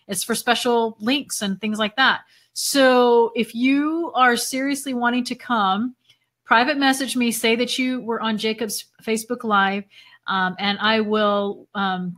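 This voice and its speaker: A woman hosting a casual live video interview, talking with a bright, forceful edge. Her voice is worn and going hoarse, and she chats loosely, with lots of fillers. Her pitch sits a little high. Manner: chatty, laughs often, many fillers. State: losing her voice. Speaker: event host interviewing a speaker.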